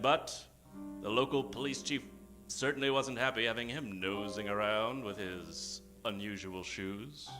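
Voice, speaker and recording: A man hosting a live old-time radio show as a grandiose, theatrical announcer with dramatic pauses. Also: mid-range voice. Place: theater stage, before an audience. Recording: live stage show with music behind. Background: music.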